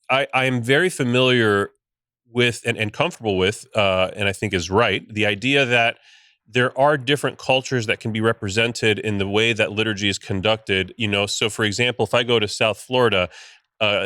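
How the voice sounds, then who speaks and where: A man's voice: lowish voice, moderate pace, sharp tone, smooth, no echo, clean studio sound. A bilingual Cuban-American man hosting a casual podcast discussion, thoughtful and careful with his words, with many fillers. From United States. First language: Spanish and English.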